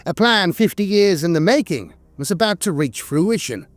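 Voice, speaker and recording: A man's voice: high-pitched, lively intonation, normal speed, no echo, soft background music. A man narrating scripted lore in a dramatic, wry storytelling manner.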